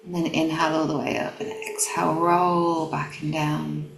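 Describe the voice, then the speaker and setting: Low-pitched woman, meditation guide, gentle and calm, unhurried, roomy echo over music. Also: gravelly. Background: background music.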